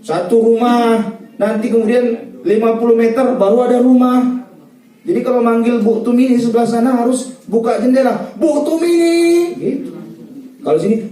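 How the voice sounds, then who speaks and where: Joking wedding preacher guy, high mellow voice, booming echoey hall from a distance. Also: moderate pace. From Medan, North Sumatra. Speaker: preacher giving wedding advice. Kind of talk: lively humorous wedding sermon.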